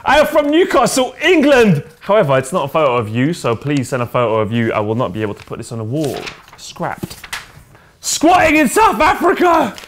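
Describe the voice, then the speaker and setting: A young man co-hosting a casual football chat show on a studio sofa, hyping segments with loud chanted shouts. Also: a little high, big pitch swings, normal speed, slight background noise. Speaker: co-host. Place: studio set with sofas.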